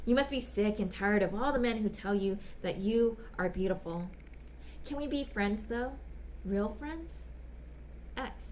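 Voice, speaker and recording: A woman voicing a smitten, bashful gentleman writing polite, apologetic, ardent love letters in a dramatic reading. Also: mid-range voice, measured pace, a little hiss or hum, close to an everyday voice. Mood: adoring, shy. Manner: polite, apologetic, ardent, old-fashioned phrasing. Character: smitten, bashful, gushing gentleman letter writer back from China.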